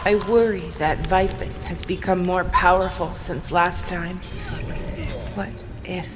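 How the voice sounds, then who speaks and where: A woman's voice: lowish voice, fairly even intonation, slow, music in the background. A woman playing a warlord's devoted, uneasy consort in an audio drama, tender and anxious. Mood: worried devotion.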